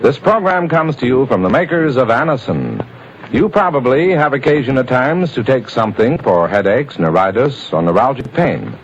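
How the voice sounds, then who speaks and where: A man's voice: medium pitch, lively intonation, moderate pace, faint music behind. A man announcing a radio drama and reading its sponsor's message in formal, declamatory old-time style. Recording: dull lo-fi old radio recording.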